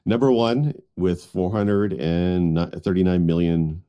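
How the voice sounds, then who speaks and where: A man's voice: low voice, fairly even intonation, takes it slowly, warm tone. A man co-hosting a podcast in a casual group conversation. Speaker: podcast co-host.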